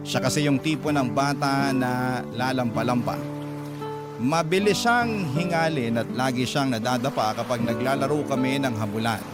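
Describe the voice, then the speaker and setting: A man with a Filipino accent reading scripted first-person drama narration. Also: mid-range voice.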